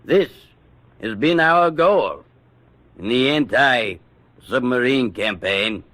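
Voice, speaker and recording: An actor playing a weighty wartime prime minister, giving a halting, oratorical public address in a radio drama. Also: male voice, medium pitch, animated, fairly bright tone. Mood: proud, deliberate.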